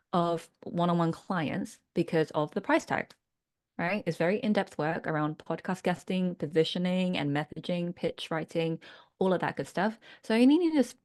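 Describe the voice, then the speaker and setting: A woman's voice: lowish voice, darkish tone. Woman leading an online workshop. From United Kingdom. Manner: earnest, reflective, checks in with listeners. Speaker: workshop leader and business coach.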